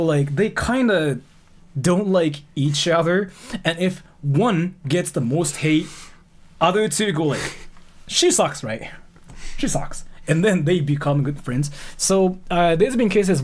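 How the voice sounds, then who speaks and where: Higher-pitched man rambling to his audience. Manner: animated, rambling, repeats phrases, swears casually. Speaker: streamer reacting to videos. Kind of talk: casual chat commentary to an audience.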